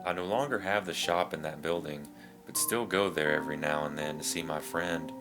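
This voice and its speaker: Male horror narrator, notably deep, measured scripted storytelling over a prominent musical bed. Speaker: narrator of creepy stories. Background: guitar music.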